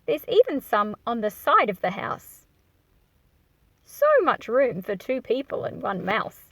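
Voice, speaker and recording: Very animated woman reading to children. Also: a little high, a little slow, creaky, a little hiss or hum. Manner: expressive, engaging the listener with questions. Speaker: children's story reader. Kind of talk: picture book read aloud for children.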